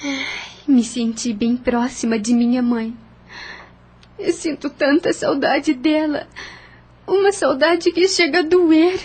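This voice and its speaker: A woman's voice: high-pitched, steady tone, slow pace, a little mellow. An actress playing an anxious, sorrowful young woman in a period audio novel, with an emotive, sighing delivery. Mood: melancholy.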